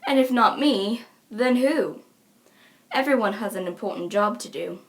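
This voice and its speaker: A woman voicing an earnest, protective young troll girl in a halting manner. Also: mid-range voice, expressive. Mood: earnest, reassuring. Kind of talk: fan character voice acting reel.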